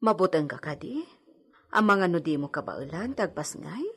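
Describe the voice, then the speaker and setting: Concerned housemaid for Ilocano radio drama, woman acting: lower female register, slight background noise. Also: expressive, normal speed.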